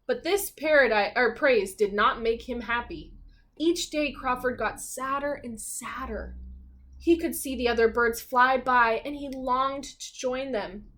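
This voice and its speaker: Slow, higher-voiced woman reading a storybook. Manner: expressive reading, pausing to ask simple questions. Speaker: teacher reading aloud. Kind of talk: children's storybook read-aloud with questions to listeners.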